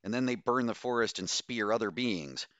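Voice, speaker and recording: A man's voice: lowish voice, sing-song, normal speed, sharp tone, no room sound, muffled recording, voice pushed up. A man voicing an agitated, stubborn woman doctor with a strident, complaining manner in an audiobook story. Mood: indignant, impassioned. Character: agitated, stubborn female doctor, hissing and whining.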